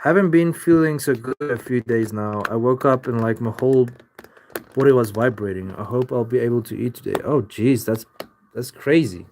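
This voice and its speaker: A man's voice: mid-range voice, normal speed, a little mellow, slight background noise, low-quality recording. A young man, chatting loosely and profanely with viewers on a livestream from his home art studio. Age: young adult. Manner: rambling, loose and profane, with many "like" fillers. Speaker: livestreamer and artist. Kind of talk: casual livestream chat answering viewers.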